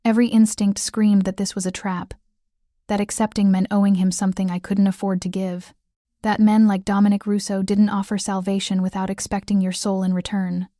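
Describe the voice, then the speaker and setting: A woman narrating a first-person romance audiobook in a steady storytelling manner. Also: medium pitch, a little fast, no room sound, studio quality.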